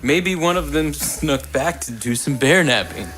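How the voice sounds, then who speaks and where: A man voicing a slow-witted, innocent stuffed bunny with a simple, earnest manner. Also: a little high, expressive, fairly bright tone. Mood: dim, earnest. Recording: clearly noisy room recording.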